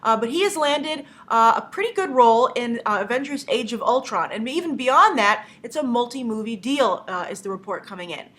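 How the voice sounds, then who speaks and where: A woman's voice: fairly high pitch, animated, fairly quick, hardly any pauses, a bit bright. Stuffy-nosed woman hosting movie news. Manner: chatty, many "uh" fillers, opinionated. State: stuffy from a cold. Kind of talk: casual news commentary.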